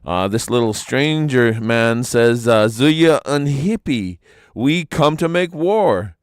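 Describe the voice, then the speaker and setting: A man voicing a witty, mischievous old trickster who announces haltingly in a storybook legend. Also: mid-range voice, lively intonation, clear, resonant tone, no echo, clean studio sound, pitched above the performer's own voice. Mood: proclaiming.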